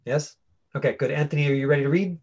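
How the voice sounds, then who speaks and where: A man with a husky voice working as an acting coach, giving an informal online lesson in a halting, rambling way. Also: on the high side, a little mellow. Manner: halting, rambling explanations full of tangents. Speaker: acting coach teaching a class.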